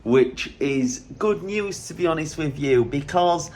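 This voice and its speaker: A man's voice: a little high, expressive, measured pace, darkish tone. A man talking casually to camera as a travel vlogger, chatty and rambling with self-mocking humor.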